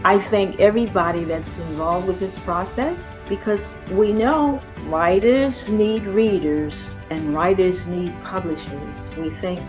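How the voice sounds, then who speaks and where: A woman who is an author, speaking deliberately and warmly. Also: on the low side, rough recording. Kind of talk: thank-you remarks. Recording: music playing clearly behind.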